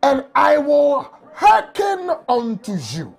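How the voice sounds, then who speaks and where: A man preaching an emotional sermon from a small office on a lapel mic, often shouting and crying out. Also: extremely high pitch, big pitch swings, takes it slowly, vocal fry, soft background music. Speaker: pastor.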